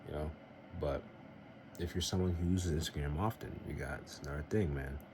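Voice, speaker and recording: A young man vlogging in a casual, earnest and somewhat rambling way, giving faith-based advice. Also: a little fast. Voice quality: very deep voice. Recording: noisy home recording.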